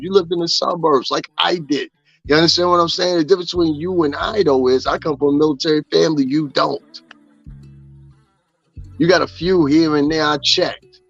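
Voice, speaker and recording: A man's voice: on the high side, vocal fry, a bit bright, breathy edge, dry recording, dull audio. A man ranting profanely in casual gossip commentary, often asking if his listeners understand what he's saying. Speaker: commentary streamer.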